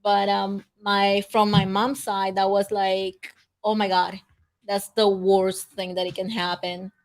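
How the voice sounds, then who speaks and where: A middle-aged woman from El Salvador, quietly recalling her childhood in a casual interview. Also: mid-range voice, little pitch movement, slow. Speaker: guest, mother of a guest.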